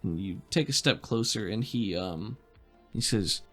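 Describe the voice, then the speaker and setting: A man's voice: fairly low pitch, lively intonation, measured pace, a bit bright, soft background music. A man narrating an improvised tabletop roleplaying game as game master, describing scenes and giving rulings.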